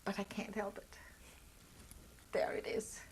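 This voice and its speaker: A woman's voice: slow, whispered, rough recording. An older woman whose first language is German, telling her life story in an oral history interview, reflective and earnest as she searches for words.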